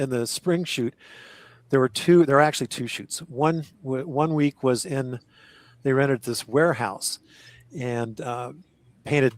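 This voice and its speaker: An older man recalls his acting days in a casual podcast chat, rambling and correcting himself mid-sentence and laughing between thoughts. His voice sits at a middle pitch, and the sound is close and dry with almost no room in it. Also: no room sound. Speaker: actor guest.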